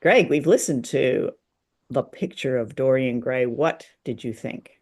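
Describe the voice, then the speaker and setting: A woman's voice: extremely low pitch, measured pace, a little mellow. A woman chats as a co-host on a casual discussion show. She speaks conversationally, feeling her way through phrases as she goes. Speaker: podcast co-host. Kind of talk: casual discussion of a classic drama.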